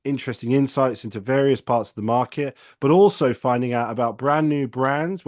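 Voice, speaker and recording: A man's voice: mid-range voice, a little mellow, no room sound, studio quality. A man hosting an interview show, introducing it and addressing viewers and guest.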